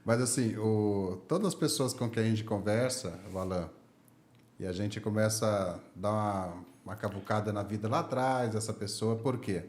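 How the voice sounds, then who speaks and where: Low-voiced Brazilian man hosting a podcast. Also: normal speed, a little mellow. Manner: friendly, chatty, laughs easily. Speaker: podcast host. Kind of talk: casual interview chat. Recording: echoey room.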